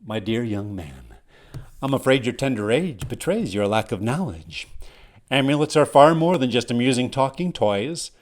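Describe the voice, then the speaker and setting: A man's voice: medium pitch, lively intonation, normal speed, close to an everyday voice. A man voicing an old, pompous talking amulet in a fantasy story, lecturing in formal, easily affronted phrases. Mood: condescending.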